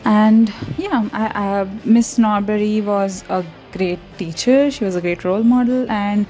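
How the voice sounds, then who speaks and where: A young woman from India speaks English as a second language, rambling happily in a casual voiceover with frequent little laughs and restarts. Her tone is mellow and warm rather than bright. Light music plays faintly under her voice. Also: medium pitch, steady tone, unhurried, rough recording. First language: not English. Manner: rambling, chatty, frequent giggles and self-corrections. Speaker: art channel host. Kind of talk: casual voiceover over a speedpaint.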